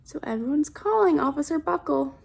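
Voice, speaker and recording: A woman's voice: sits high, lively intonation, brisk, creaky, a little mellow. A woman reading a picture book aloud to children, expressively, with simple asides and questions about the pictures.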